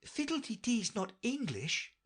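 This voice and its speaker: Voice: medium pitch, sing-song, a little slow, slightly rough, voice pushed up. A reader voicing an earnest, polite young girl in a storybook audiobook, speaking in a careful, grave manner. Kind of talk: audiobook character voice.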